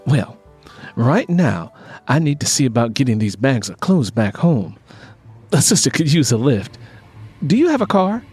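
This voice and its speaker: Man playing a sassy, husky-voiced city woman. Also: mid-range voice, big pitch swings, normal speed, pauses often, a little mellow, soft background music. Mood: direct, friendly. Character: proud, sharp-tongued, easily irritated city woman.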